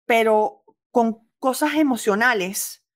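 A woman from Venezuela with a Venezuelan accent, a comedian chatting casually in an interview, lively and laughing often, slipping English phrases into her talk. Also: fairly high pitch, slow. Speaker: stand-up comedian, guest.

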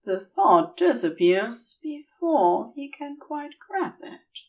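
A woman reading a story aloud as scripted narration, careful and measured, with expressive delivery. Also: high voice, far from the mic. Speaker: fan fiction audiobook reader.

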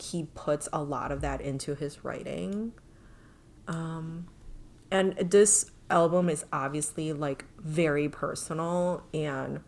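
A woman's voice: low-pitched, a little mellow. A woman co-hosting a podcast, chatting in a thoughtful, casual way with lots of filler words. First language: not Korean. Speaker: podcast co-host. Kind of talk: casual chat.

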